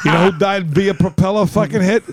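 A middle-aged man, telling stories casually on a podcast in a blunt, profane, animated way. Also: high-pitched, a little fast, shouting, heavy background noise. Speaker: podcast co-host. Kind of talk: casual comic storytelling among friends.

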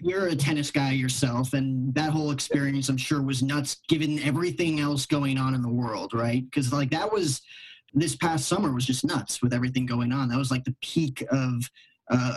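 A man's voice: mid-range voice, fairly even intonation, normal speed, slightly rough, low-quality recording. A man hosting an interview in a casual, conversational way, with informal, rambling questions. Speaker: interview host.

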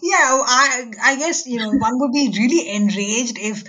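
A woman interviewer with a fairly high, breathy voice. She keeps the talk casual and fills the gaps with soft murmurs of agreement. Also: a little slow. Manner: chatty, with many short backchannel murmurs. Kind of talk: conversational interview.

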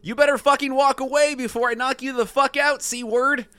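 A man's voice: extremely high pitch, fairly even intonation, moderate pace, sharp tone, clear, resonant tone, pitched above the performer's own voice. A man voicing an aggressive male golfer in a comic story reading, belligerent, sweary and threatening. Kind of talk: dramatic comic quote reading.

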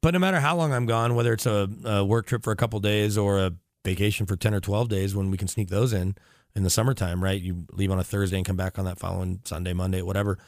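A man's voice: fairly low pitch, steady tone, fast talker, dry recording, clean studio sound. A middle-aged American man, a radio reporter guesting on a podcast, tells rambling anecdotes in casual, talkative chat.

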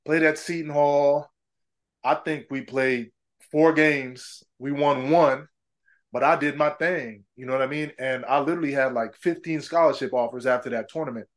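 A man's voice: mid-range voice, fairly even intonation, normal speed. A middle-aged man from Trinidad, a former pro basketball player, chatting casually as an interview guest, laughing often and saying "man" and "bro".

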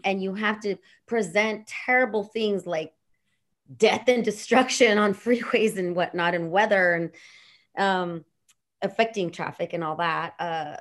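La woman guest on a podcast, bubbly, laughs a lot, goes off on tangents, regular pitch. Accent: Los Angeles regional. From Los Angeles. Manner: bubbly, laughs often, rambling asides. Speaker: radio and TV personality, guest. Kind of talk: casual podcast chat.

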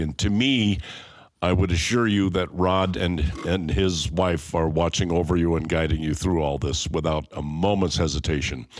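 Low-pitched, earnest man. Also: no echo. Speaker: podcast co-host. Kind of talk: casual chat.

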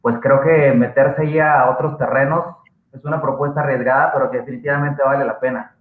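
A man's voice: mid-range voice, fairly even intonation, brisk. A man from Chihuahua, Mexico, a guest giving laid-back car commentary over a tinny, echoey line.

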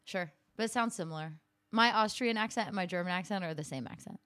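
A woman's voice: on the low side, quick pace, fairly bright tone. A woman chatting casually on a podcast, playful and full of questions. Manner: playful, laughs, asks questions. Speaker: podcast co-host. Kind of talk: casual chat.

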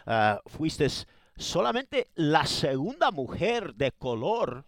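A man's voice: fairly high pitch, big pitch swings, takes it slowly, no echo. A man co-hosting the podcast, his voice rising and falling expressively as he asks eager questions. The recording is dry and close. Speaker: podcast co-host. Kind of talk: interview questions.

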